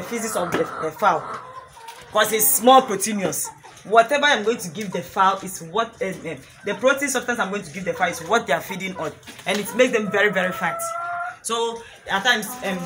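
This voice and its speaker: A middle-aged woman from Ghana, a fish farmer, earnestly explaining her work step by step outdoors in her yard. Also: medium pitch. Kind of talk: casual explanation on a farm tour. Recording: outdoor recording with clear background noise.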